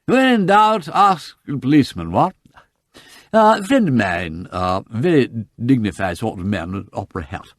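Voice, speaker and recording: Brief: male reader voicing a sozzled posh gentleman, slurring and giggly, wildly swooping pitch. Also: lively intonation, moderate pace, no echo, clean studio sound, lightly acted. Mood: giggly drunk. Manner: tipsy, giggling, slurred and rambling. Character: drunken, giddy aristocratic young gentleman. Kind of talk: audiobook character voice.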